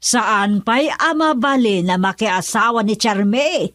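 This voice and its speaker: A woman's voice: fairly high pitch, expressive, slow pace, a bit bright, no echo, clean studio sound. A woman playing an indignant, accusing mother in an Ilocano radio drama, scolding and insistent. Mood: stubborn, worried. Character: indignant, accusing mother of a runaway young daughter.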